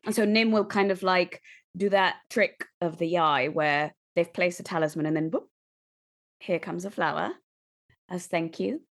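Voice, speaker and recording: A woman's voice: on the low side, moderate pace. A woman playing a tabletop roleplaying game, casually describing what her character does.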